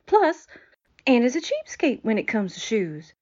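A woman's voice: fairly high pitch, huge pitch swings, measured pace, darkish tone, no echo, highs cut off, full character voice. A woman playing an opinionated, matter-of-fact character in a 1940s-style radio drama.

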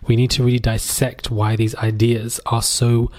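A man's voice: mid-range voice, monotone, moderate pace, a little mellow. Male podcast host, mid-range voice, informal and slangy, talking solo with emphasis. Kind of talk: conversational podcast monologue on social issues.

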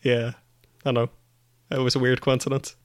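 A man's voice: medium pitch, flat delivery, moderate pace. A man co-hosting a podcast, giving his opinions and recommendations in casual chat. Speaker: podcast co-host.

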